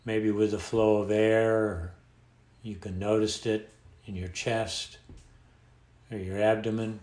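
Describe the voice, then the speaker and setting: A man leading a guided meditation in a calm, unhurried manner, leaving long pauses between phrases. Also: lowish voice. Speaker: meditation teacher. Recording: echoey room sound.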